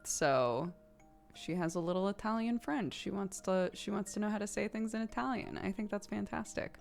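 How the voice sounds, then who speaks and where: A woman's voice: mid-range voice, moderate pace, creaky, faint music behind. A woman in her thirties, a streamer chatting casually and rambling while playing a video game, laughing often.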